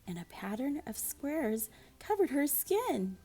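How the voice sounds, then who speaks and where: A woman reading a children's storybook aloud in an expressive, deliberate storytelling manner. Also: high-pitched, faint music behind. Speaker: school librarian reading aloud.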